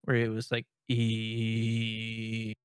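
A young man from Canada chatting as a podcast co-host, rambling with many restarts and nervous laughs, with a fairly high-sounding voice. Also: completely flat delivery, extremely slow, clear, resonant tone, dry recording, clean studio sound. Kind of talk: casual podcast chat.

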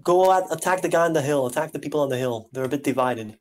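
A man leading his squad in an online battle game, calling out orders over voice chat in a casual, bossy way. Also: a little high. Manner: bossy, shouting quick orders.

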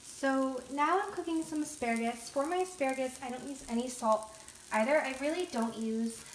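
A woman's voice: high voice, moderate pace, slight background noise, rough recording. A young woman in her twenties chatting casually to her phone camera as a fitness vlogger, giggly and full of fillers. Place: home kitchen, gym and nail salon. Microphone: phone held at arm's length.

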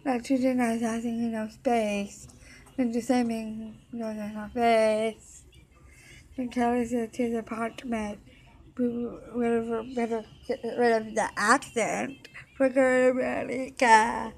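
A young woman singing songs loosely and loudly into a close webcam in an office room, shouting and chanting at times. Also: fairly high pitch, flat delivery, slow, slight background noise, poor audio. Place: indoor office room with desks and computers. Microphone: webcam at close range, with headphones on.

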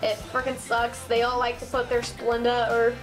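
A woman's voice: on the high side, completely flat delivery, normal speed, music in the background, low-quality recording. A young woman with a Southern Ohio twang, chatting casually to her webcam in a small bedroom, bubbly and gushing, full of ums and likes. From Midwest United States. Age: young adult. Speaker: vlogger. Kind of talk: casual chat to camera. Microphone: built-in webcam mic.

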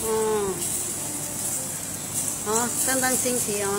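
A bubbly middle-aged woman runs a casual food show, her voice sitting fairly high. She chats enthusiastically, full of exclamations and fillers. The noisy restaurant around her comes through clearly on a handheld phone camera. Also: steady tone, slow pace. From Malaysia. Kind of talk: casual restaurant review chat. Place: inside a restaurant.

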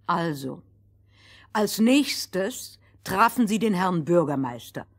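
A woman telling a fairy tale as a storyteller, expressive and theatrical, in a playful scripted style. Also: fairly low pitch, slow.

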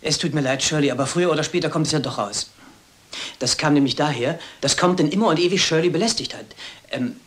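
An actor plays an awkward younger subordinate in a radio mystery. His fairly high male voice is polite and apologetic, hesitating as he addresses his superiors. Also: fast talker, a bit bright, natural voice. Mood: sheepish. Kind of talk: German radio crime drama acting.

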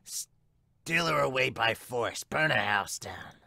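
A man's voice: medium pitch, lively intonation, takes it slowly, creaky, sharp tone, dry recording, full character voice. A man voicing a cruel, scheming mouse henchman from a manga, with a sneering, goading delivery. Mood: contemptuous.

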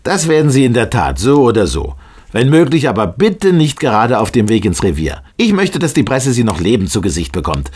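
Raspy, pompous police inspector. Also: male voice, medium pitch, huge pitch swings, a little fast, darkish tone. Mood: smug, officious. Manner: brusque, officious questioning. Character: pompous, self-important Scotland Yard police inspector. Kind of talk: German audio drama acting.

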